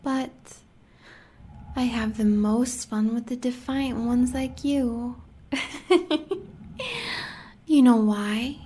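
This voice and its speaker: A sadistic, seductive huntress with an American accent and a fairly high voice. She taunts with extremely slow, savored phrases that often sink toward a whisper, in the manner of an audio roleplay villainess. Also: fairly even intonation, warm tone, a little hiss or hum. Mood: gloating, amused.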